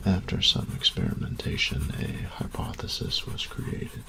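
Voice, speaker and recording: A man playing a meticulous, reclusive artificer in an audio roleplay, dictating formally and precisely in a gravelly, breathy voice. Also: unhurried, sharp tone, a little hiss or hum. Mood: formal, focused. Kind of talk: scripted audio roleplay acting.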